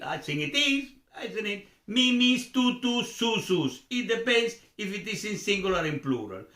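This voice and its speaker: Male language tutor, Venezuelan accent, native Spanish speaker; highish lively voice, informal and didactic, repeats examples for learners. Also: reverberant. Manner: didactic, repeats examples, checks understanding with questions. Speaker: language teacher and host. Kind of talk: informal Spanish grammar lesson.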